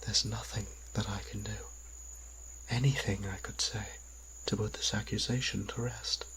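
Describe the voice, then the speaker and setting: A man playing a gentle, devoted vampire priest in an audio drama, speaking earnestly and with careful phrasing. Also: fairly low pitch, fairly even intonation, normal speed, slight background noise, low-quality recording. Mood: worried. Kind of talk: audio roleplay drama acting.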